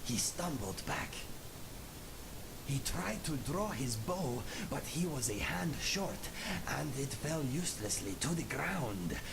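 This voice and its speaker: A man's voice: medium pitch, creaky, noisy background. A man voicing a proud, affable cat-man adventurer in a fantasy game, telling stories theatrically and formally with whispery suspense. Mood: grim, dramatic. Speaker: companion character in a fantasy game. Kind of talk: theatrical storytelling in the third person.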